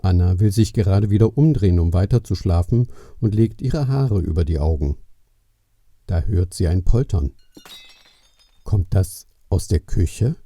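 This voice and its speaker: A man narrating a scripted children's story in a measured way, speaking directly to the young listener. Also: low voice, expressive, no echo, clean studio sound. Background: soft plucked guitar music.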